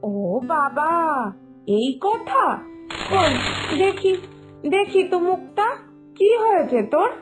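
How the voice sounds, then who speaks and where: A woman voicing a sensible elder sister-in-law in a cartoon story, gently scolding and reassuring. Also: extremely high pitch, expressive, slow pace, long pauses, heavily acted. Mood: chiding, reassuring. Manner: chiding, reassuring. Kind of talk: Bengali animated moral story dialogue. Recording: music behind the voice.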